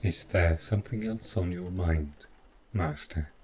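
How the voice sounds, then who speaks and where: Guy doing a robot butler for an audio roleplay, really low voice, super formal and polite, calm, bit of background noise. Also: unhurried. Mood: calm, attentive. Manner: formal, stiffly precise, deferential, avoids contractions. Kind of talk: scripted roleplay audio drama.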